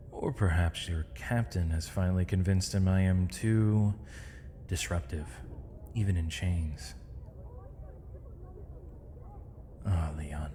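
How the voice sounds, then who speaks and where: Man acting as deep, slow-spoken imprisoned mage. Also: warm tone, a little hiss or hum. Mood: resigned, gentle. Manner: measured, formal, poetic phrasing with thoughtful pauses. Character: gentle, weary, eloquent imprisoned male mage, misunderstood villain. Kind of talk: ASMR roleplay, intimate dramatic monologue. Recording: long reverb, faint night insect ambience.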